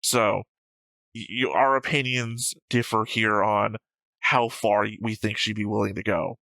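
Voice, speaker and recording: A man's voice: on the low side, steady tone, unhurried, vocal fry, sharp tone, no room sound. A man co-hosting a reaction show, talking through his opinions in casual, argumentative discussion. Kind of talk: casual discussion and episode commentary.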